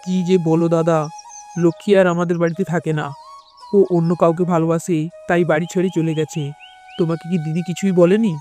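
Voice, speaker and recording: Man voicing a young husband, cartoon drama, high voice, gullible, quick to scold, music behind. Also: level tone, darkish tone, lightly acted. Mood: casual, aggrieved. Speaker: narrator and voice actor. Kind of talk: dramatic storytelling narration for a cartoon tale.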